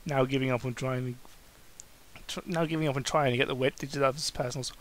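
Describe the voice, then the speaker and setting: A man's voice: medium pitch, fairly even intonation, quick pace. A man reading a story aloud as an amateur, in a comedic dramatic style, stumbling over words and breaking off for asides. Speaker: amateur fan fiction reader.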